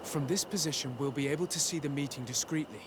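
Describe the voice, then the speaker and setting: Game role, male actor: composed young aristocratic legate, articulate and probing, lightly pitched, with noticeable outdoor background noise. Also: close to an everyday voice. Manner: formal, measured, articulate. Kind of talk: video game cutscene acting. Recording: noisy outdoor ambience behind the voice.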